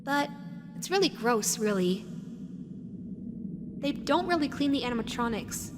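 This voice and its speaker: Woman as high childlike animatronic fox, slow, lonely, possessive, dreamy, sweetly sinister, horror audio roleplay. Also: expressive. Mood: wistful, eerie. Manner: dreamy, lingering, repeats words softly. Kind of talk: scripted audio roleplay addressed to the listener. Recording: long hall-like reverb, music underneath. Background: background music.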